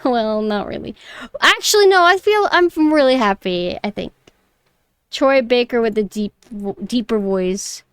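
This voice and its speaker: A young woman with a high voice, chatting casually and snarkily on a stream, her close mic clipping on loud peaks. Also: expressive, a little slow, smooth, no room sound. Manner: chatty, rambling, mockingly snarky. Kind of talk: casual reaction stream chat. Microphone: close mic that clips loud peaks.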